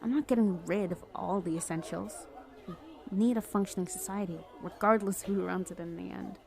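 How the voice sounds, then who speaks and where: A woman playing a smug, lovestruck female villain in an ASMR roleplay, coaxing and teasing the listener in a creaky, conversational voice. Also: mid-range voice, fairly quick, a little mellow, some chatter behind, close to an everyday voice. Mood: smug, persuasive. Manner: teasing, cajoling, conversational with hesitant stammers. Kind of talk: ASMR roleplay, addressing the listener as love interest.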